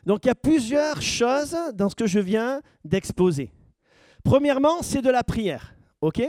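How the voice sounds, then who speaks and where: A man's voice: very high-pitched, animated, measured pace, a little mellow, no echo, clean studio sound. A man preaching as pastor, questioning his congregation and building to emphatic points. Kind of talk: sermon teaching.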